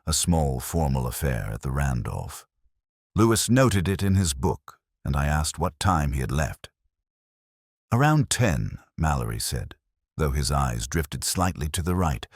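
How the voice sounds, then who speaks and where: A man narrating a scripted first-person detective story in a measured, solemn, literary manner. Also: extremely low pitch, dry recording, studio quality.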